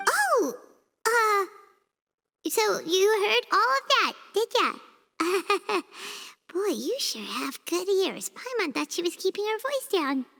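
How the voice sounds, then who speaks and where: A woman's voice: very high voice, very animated, takes it slowly, gravelly, bright, forceful tone, exaggerated voice. A woman voicing a tiny, chatty female companion who talks about herself in the third person and stammers when flustered. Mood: sheepish. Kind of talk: video game cutscene dub. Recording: long reverb.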